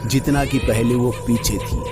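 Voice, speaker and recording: A man's voice: mid-range voice, fairly even intonation. Suspenseful male horror narrator over music. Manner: suspenseful, dramatic pauses and drawn-out phrases. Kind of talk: dramatic scripted horror story narration.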